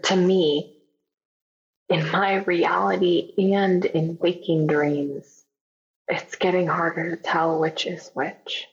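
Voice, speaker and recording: An actress playing a haunted, sleepless young woman making an uneasy, confessional diary recording in an audio drama. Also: low voice. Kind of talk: found-footage audio drama diary monologue. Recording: dull lo-fi found-footage diary recording.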